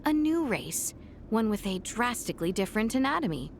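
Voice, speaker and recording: An actress playing a poised, inquisitive young noblewoman in a fantasy game, speaking in formal, measured phrases. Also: a little high, big pitch swings, a little hiss or hum. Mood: puzzled, composed. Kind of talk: video game character acting.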